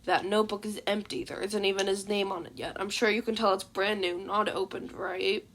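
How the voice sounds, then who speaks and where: A woman voicing a tired, grumpy male teacher in a fan fiction reading, with a curt, deadpan delivery. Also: mid-range voice, fairly quick, voice pushed down. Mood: weary, irritated. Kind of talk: fan fiction audiobook character voice.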